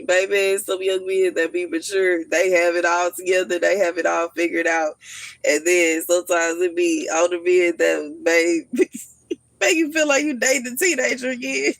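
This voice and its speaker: A woman in her thirties on a casual livestream, telling stories in an animated, slangy way and laughing often. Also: mid-range voice, gravelly, no room sound. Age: 30s. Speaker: livestream host.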